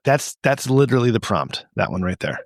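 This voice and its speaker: A man's voice: medium pitch, sing-song, fairly quick, no echo, studio quality. A man hosting a casual comedy podcast, moderating a game with friends in loose group banter.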